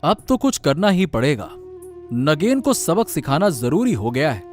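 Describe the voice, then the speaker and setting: A man's voice: fairly high pitch, big pitch swings, measured pace, a little mellow, faint music behind. A man playing a righteous, protective village uncle in a Hindi cartoon story. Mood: indignant.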